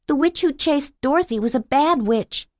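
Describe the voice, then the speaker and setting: A woman's voice: high voice, expressive, moderate pace, dry recording, clean studio sound, pitched above the performer's own voice. A woman narrator voicing a small boy in a Halloween costume who speaks in an earnest, chirpy way.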